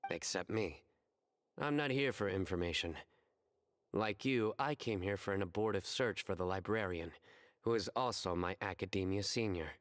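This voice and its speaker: A man playing a dry, serious young scholar in a video game, speaking in a measured, formal deadpan. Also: low-pitched, dry recording, natural voice. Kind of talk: scripted video game dialogue.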